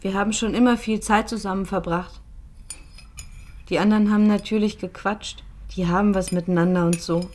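A woman's voice: mid-range voice, steady tone, fairly quick, a little mellow, lightly acted. An actress playing a guarded, defiant young woman in a naturalistic crime drama, speaking with emotion. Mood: confiding, subdued. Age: young adult.

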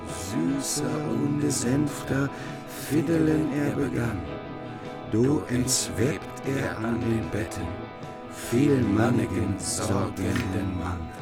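Slow, chanting man reciting old verse. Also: medium pitch. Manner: rhythmic, chanting. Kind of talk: reciting Middle High German verse. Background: loud music.

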